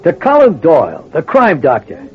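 A man's voice: fairly high pitch, huge pitch swings, moderate pace, very noisy. An actor playing a cocky, scheming small-time crook in an old-time radio crime drama, with slangy wise-guy talk. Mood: cocky, conspiring. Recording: dull vintage radio recording with music.

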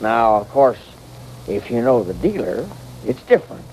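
An actor playing a folksy, gullible saloon keeper with a rustic American Western way of talking, in radio drama. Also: male voice, medium pitch, very animated, moderate pace, darkish tone, lightly acted. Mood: impressed, folksy. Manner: rustic, colloquial Old West drawl. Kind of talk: old-time radio western acting. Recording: noisy vintage radio recording.

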